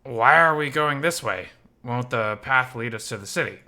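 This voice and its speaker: A man's voice: medium pitch, expressive, normal speed, pushed and bright. A man voicing a naive, flustered young male bat who stammers in disbelief and embarrassment in a visual novel reading. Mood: curious, pestering. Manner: stammering, incredulous, easily embarrassed.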